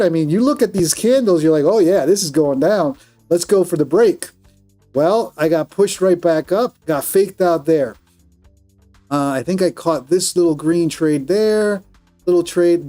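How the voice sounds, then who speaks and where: Colombian male streamer, slightly high and warm-toned, frank and self-critical. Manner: candid, self-critical, with frequent restarts. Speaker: day trader streaming live. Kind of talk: live stream commentary, reading viewer chat.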